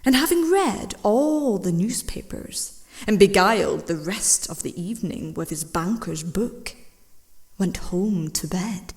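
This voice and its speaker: A woman narrates a classic tale in a theatrical audiobook style. Her pitch moves widely and she takes her time over each phrase, sometimes sinking to a hushed, breathy delivery. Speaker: audiobook reader and voice actress. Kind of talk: dramatic scripted narration of classic fiction.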